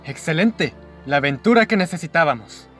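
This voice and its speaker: A man's voice: on the high side, lively intonation, normal speed, fairly bright tone, soft background music. A man with a Mexican accent playing the eager, loyal friend of a detective in a radio play. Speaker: amateur actor in a radio play. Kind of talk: radio drama acting.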